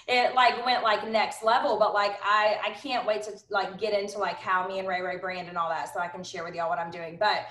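A woman's voice: mid-range voice, flat delivery, a little fast, fairly bright tone, a little hiss or hum. A woman of thirty giving a casual business training talk, bubbly and emphatic, with lots of "like" and "honestly". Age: 30s. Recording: roomy, echoey sound.

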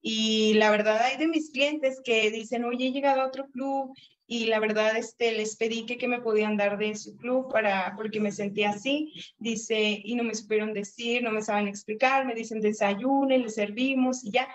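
A woman's voice: on the high side, steady tone, moderate pace, lo-fi sound. A woman in her late thirties giving a chatty motivational training talk over a video call, often asking and answering her own questions. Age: 30s. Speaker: network marketing trainer.

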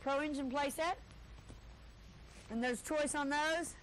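A chatty woman in her thirties runs a live local auction show, walking a handheld camera through a cluttered stockroom. Her voice sits high and she tosses off teasing asides to the crew between items. A faint hiss hangs under the old tape recording. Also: fairly even intonation, slow pace, low-quality recording. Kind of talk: live cable TV sales patter.

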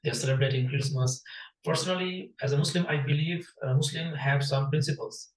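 A man from Bangladesh, a non-native English speaker, interviewing a guest and asking questions in halting phrasing. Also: mid-range voice. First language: Bengali. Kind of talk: casual interview. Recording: echoey room from far off.

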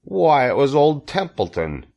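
A man's voice: medium pitch, very animated, gravelly, darkish tone, highs cut off, pitched above the performer's own voice. A man voicing a grumbling old male rat in a storybook, sarcastic, self-pitying and sneering. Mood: boastful grumbling. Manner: sneering, drawn-out mockery.

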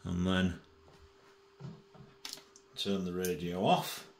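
A man giving an informal software demonstration as a tutorial presenter, methodical and thinking aloud, recorded indoors at a desk. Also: deep voice, level tone, drawn-out words, vocal fry, reverberant, a little hiss or hum.

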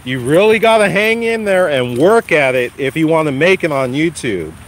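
A man hosting his first live stream from a phone while walking a dog outside, his voice slightly raspy from a cold, casually encouraging viewers. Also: a little high, big pitch swings, moderate pace, slight background noise. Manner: encouraging, repetitive, addresses viewers by name. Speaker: channel host. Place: outdoors on a lawn walking a dog. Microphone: phone held while walking.

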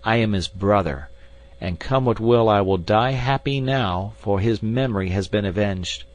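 A man's voice: lowish voice, moderate pace, close to an everyday voice. A man voicing a frenzied, vengeful villain who taunts and gloats theatrically in an audiobook adventure story. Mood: vengeful gloating.

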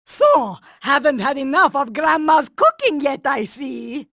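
A creaky old granny villain, sickly sweet n menacing, talks real slow, cartoon game. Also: female voice, sits high, big pitch swings, sharp tone, dry recording, clean studio sound, heavily acted. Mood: sickly-sweet menace. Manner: sickly-sweet, gloating, drawn-out. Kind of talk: cartoon video game voice acting.

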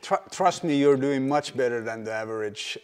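A male interview host asking gentle questions with stammered starts, seated in a winery barrel room. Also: medium pitch, moderate pace, clean tone. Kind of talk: conversational interview. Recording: long hall reverb.

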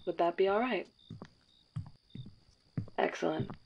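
Brisk agency matchmaker, clipped and formal, lowish voice, dull recording, voiced by a woman. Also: vocal fry. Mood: briefing. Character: crisp, controlling woman dating agency matchmaker. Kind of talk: audio drama roleplay. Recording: dull lo-fi sound, faint hiss.

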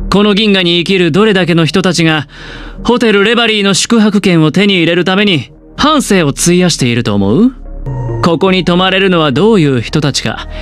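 A man voicing a suave, smug young gambler in a video game, with a smooth voice and a teasing, calculating, theatrically polite charm. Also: sits high.

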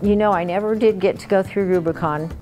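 A woman's voice: medium pitch, fairly even intonation, fairly quick, mellow, soft background music. An American woman in her seventies, casually reminiscing about family life in everyday conversation. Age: 70s. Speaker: wife recalling family trips. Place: outdoors on a driveway by an open garage.

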